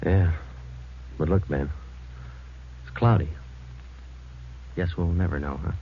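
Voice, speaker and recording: An actor playing a no-nonsense, matter-of-fact police detective who also narrates a 1940s radio drama. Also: male voice, sits low, takes it slowly, heavy background noise. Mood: weary, firm. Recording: muffled vintage radio recording with music.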